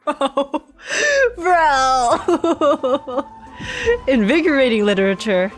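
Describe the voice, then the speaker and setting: A woman's voice: sits high, big pitch swings, very slow. A woman streamer giving playful, teasing and exclamatory commentary while reacting to a game. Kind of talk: playful gaming commentary with dramatic read-alouds. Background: music behind the voice.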